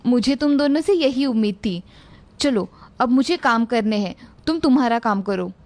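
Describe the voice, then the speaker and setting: A woman's voice: on the high side, expressive, normal speed, a little mellow. A woman voicing a greedy, scheming old village mother-in-law in a cartoon story. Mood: demanding. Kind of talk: Hindi cartoon story voice acting.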